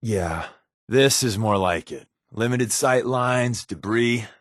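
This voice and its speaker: An actor playing a wisecracking, sardonic undercover companion in a video game, talking in a glib, deadpan way. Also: male voice, medium pitch, takes it slowly, dry recording, studio quality. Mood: sly, joking. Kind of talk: game voice lines, dry quips.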